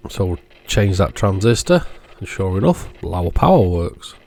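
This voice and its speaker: A man's voice: deep voice, very animated, moderate pace, creaky, dark tone, faint music behind. A man hosting an electronics repair channel, giving casual, matter-of-fact narration of the job as he goes.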